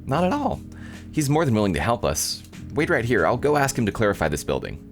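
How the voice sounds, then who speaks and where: A man's voice: medium pitch, wildly swooping pitch, brisk, soft background music, voice pushed up. A man voicing a perky, earnest young anime girl in a visual novel, in an eager, gushing manner. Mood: delighted. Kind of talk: visual novel character dialogue.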